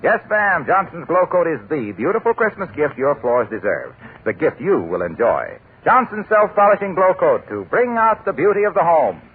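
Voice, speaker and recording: A man's voice: fairly high pitch, very animated, normal speed, slightly rough. A man announcing a commercial in a hearty, salesman-like manner for a 1940s radio show. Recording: tinny 1940s radio broadcast.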